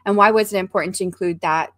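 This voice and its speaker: A woman hosting a casual interview over a video call, chatty and quick to laugh off her own stumbles. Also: medium pitch, steady tone, no room sound, studio quality. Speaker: host.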